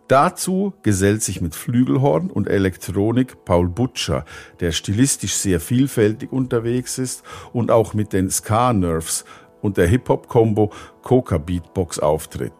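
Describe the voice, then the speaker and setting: A man's voice: deep voice, a little mellow. A man reading scripted narration as a podcast presenter, in the style of a music review.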